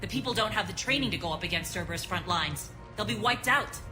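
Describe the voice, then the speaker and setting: A woman's voice: medium pitch, lively intonation, fast, music in the background, lightly acted. Earnest, defiant alien soldier woman, acted out. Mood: earnest, reproachful. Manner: earnest, reproachful, firm. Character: principled female alien resistance soldier, anxious but defiant. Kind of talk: video game cutscene voice acting.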